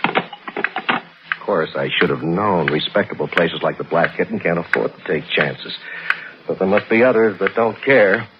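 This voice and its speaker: A man's voice: deep voice, unhurried. An actor playing an anxious, overprotective middle-aged father in a 1960s radio suspense drama, with a fretful, brooding, melodramatic delivery. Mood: worried, brooding. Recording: noisy, dull 1960s radio recording.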